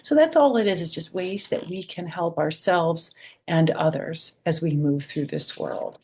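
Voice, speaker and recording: Older woman, expressive storytime reader. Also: low-pitched, a little slow, breathy edge. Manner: expressive, sing-song reading with soft, gentle asides. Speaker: storyteller, children's author and former schoolteacher. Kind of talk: children's read-aloud storytime with chatty asides.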